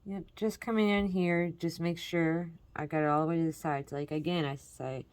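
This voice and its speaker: A woman from Michigan chats through a crafting tutorial in a friendly, encouraging way. Her voice is lowish and a little congested from allergies. The sound is dry and close to the mic. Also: steady tone, moderate pace, a little mellow, no echo. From Michigan, USA. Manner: chatty, encouraging, talks while working. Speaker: crafting channel host. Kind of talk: casual crafting tutorial chat.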